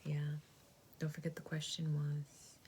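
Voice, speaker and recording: Low flat whispery lady doing a tarot reading, soft and gentle, hissy recording. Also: unhurried. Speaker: tarot reader.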